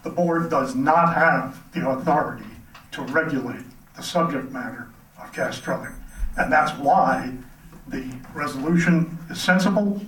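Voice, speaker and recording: A man with a somewhat high-pitched voice addresses a public meeting formally. He sets out his phrases deliberately and with emphasis. The recording is distant and full of room echo. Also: fairly bright tone. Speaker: speaker at a town board meeting. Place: community center meeting hall. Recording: echoey hall, distant microphone.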